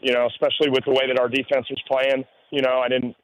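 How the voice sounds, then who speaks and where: A man who coaches high school football, explaining things in spontaneous interview answers and often saying "you know". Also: completely flat delivery, fast talker. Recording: dull lo-fi radio audio.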